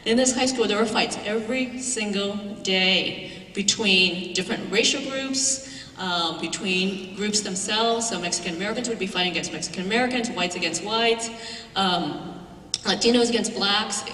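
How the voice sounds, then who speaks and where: A woman's voice: medium pitch, normal speed, sharp tone, a little hiss or hum. A woman professor giving a symposium talk, telling a personal story in a conversational way with some fillers. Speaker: guest speaker, professor. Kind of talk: symposium lecture. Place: large lecture hall. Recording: echoey hall, far from mic.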